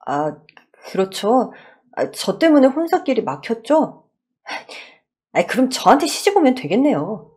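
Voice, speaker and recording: A shy young working man, played by a woman in a radio story drama. He is polite, hesitant and earnest, with a husky tone and lively swings in pitch. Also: unhurried, full character voice. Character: shy, earnest young male repairman in love.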